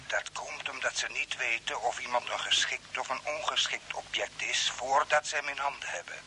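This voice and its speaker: A man acting in a radio play as a mysterious, calmly insistent Earthman stranded on Mars, speaking in a measured, formal way. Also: mid-range voice. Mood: calm, knowing. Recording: hissy old radio broadcast, faint noise.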